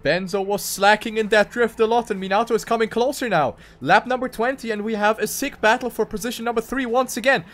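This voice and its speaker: Man, higher-pitched voice, live esports racing commentary, music behind him. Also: steady tone, fairly quick, hardly any pauses, fairly bright tone. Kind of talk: live video game race commentary.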